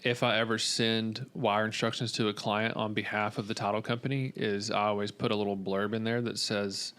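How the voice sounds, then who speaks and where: A man in a casual podcast conversation, telling things in a halting, pausing way. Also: fairly low pitch, level tone, fairly bright tone.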